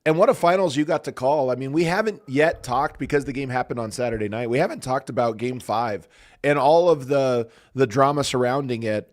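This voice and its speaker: A man's voice: medium pitch, fairly quick. A man hosting a sports podcast in casual chat, his voice strained and going from coaching all weekend, asking questions with easy curiosity. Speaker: podcast host.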